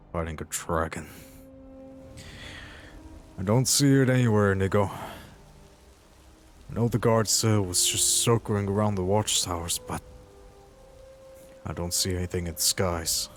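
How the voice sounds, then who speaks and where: A man role-playing in character through a fantasy game, improvising in a halting, musing manner. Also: on the low side, fairly even intonation, faint music behind.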